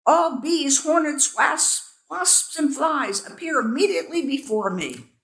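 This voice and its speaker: A woman voicing a proud, furious king who shouts loud commands. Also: high-pitched, expressive, measured pace, voice pushed up, full character voice. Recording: echoey room.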